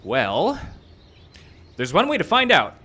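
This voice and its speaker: A man performs an eager young would-be film director in a live radio play. He is glib, wry and pushy, with pitch that leaps all over the place, over noisy outdoor stage sound. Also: huge pitch swings, slow pace, bright, forceful tone, heavily acted. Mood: coaxing, excited. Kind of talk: live radio drama acting. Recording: live outdoor stage recording, background noise.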